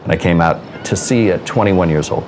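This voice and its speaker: A man's voice: sits low, fairly quick, noisy background. A middle-aged man with an American accent, speaking as a hotel director in interview soundbites for a promotional film. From Seattle, United States. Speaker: cruise ship hotel director. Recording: music behind the voice. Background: background music.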